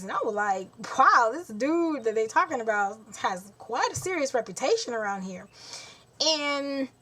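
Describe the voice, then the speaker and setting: A woman's voice: a little high, a bit bright. Blunt young woman venting to camera. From Haitian heritage. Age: 20s. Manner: blunt, exasperated, talks with her hands in quotes. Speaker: vlogger. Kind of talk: casual storytelling vlog.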